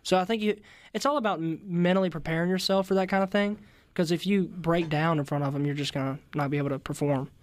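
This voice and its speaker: Raspy teenage boy, polite. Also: a little high, fairly even intonation, dry recording. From Mississippi-Louisiana border. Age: teens. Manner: polite, halting, many fillers. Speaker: interview guest, singer. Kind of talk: casual interview. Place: radio studio. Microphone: studio mic with headphones. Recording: dry close studio sound.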